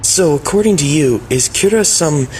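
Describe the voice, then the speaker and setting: A man's voice: a little high, expressive, normal speed, slight background noise, close to an everyday voice, poor audio. A man playing a polite, calculating teenage student in an anime dub, with secret schemes under the courtesy. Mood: polite, persuasive. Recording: voice under loud background music. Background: loud music.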